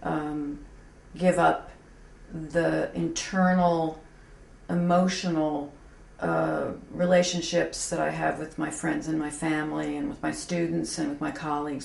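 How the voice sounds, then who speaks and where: A woman in her mid-fifties speaks in a low, gravelly voice, candid and self-deprecating, pausing often with fillers and restarting her sentences. The recording comes from an echoey room with a faint hum underneath. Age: 50s. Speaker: graphic designer, interviewee. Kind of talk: candid reflective interview talk.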